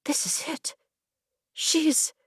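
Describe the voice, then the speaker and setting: A woman voicing an eager, awestruck young trainee in a fantasy audiobook, with breathy, earnest wonder. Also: drawn-out words, no echo, clean studio sound. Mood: breathless hope.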